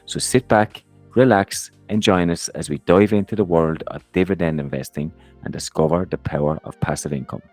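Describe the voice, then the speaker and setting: A man's voice: low-pitched, moderate pace, darkish tone, no echo, faint music behind. A man co-hosting a podcast. He reads a scripted intro, then leads the interview in an easygoing, apologetic way. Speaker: podcast co-host.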